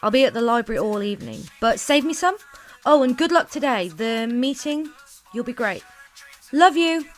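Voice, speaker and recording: Audio drama: actress as cheeky young adult daughter, high voice, light music beneath. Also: female voice, animated, normal speed, close to an everyday voice. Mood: cheeky, breezy. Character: cheeky, exasperated university-age daughter.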